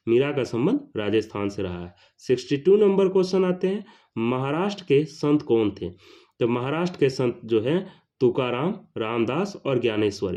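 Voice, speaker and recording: A man teaching history for an exam. He reads each question, then repeats it and its answer, and he calls out each question number higher and louder.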